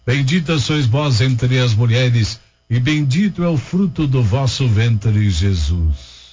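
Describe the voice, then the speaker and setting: Brazilian radio prayer host, lo-fi. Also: male voice, mid-range voice, takes it slowly, breathy edge. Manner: steady, rhythmic listing of names and places. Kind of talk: reading listener intentions and leading prayers. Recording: dull lo-fi radio broadcast.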